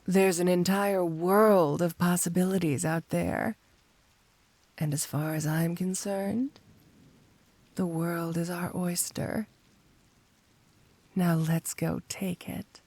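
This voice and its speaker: Slow, creaky, flirty supervillainess, acted by a woman. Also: lowish voice, steady tone. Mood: flirty, tender.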